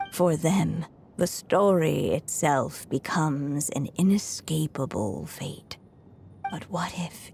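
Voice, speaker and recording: A woman playing a frail but resolute elderly writer in a video game, measured and reflective, with a breathy voice that weakens into whispers. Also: lowish voice, warm tone, a little hiss or hum. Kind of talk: dramatic video game voice acting. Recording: music under the voice.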